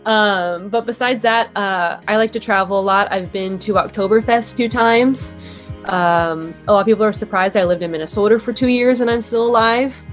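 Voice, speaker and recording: A woman's voice: medium pitch, normal speed, faint music behind. Chatty young woman, upbeat and casual. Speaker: guest, former sports anchor. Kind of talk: casual interview chat.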